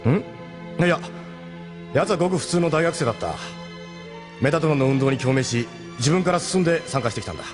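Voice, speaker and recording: Steady, fatherly male captain over music actor. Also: fairly high pitch, lightly acted. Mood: reflective, concerned. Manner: measured, gruff masculine speech, fatherly. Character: steady, protective male captain of a rebel pilot team. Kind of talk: Japanese sci-fi radio drama acting.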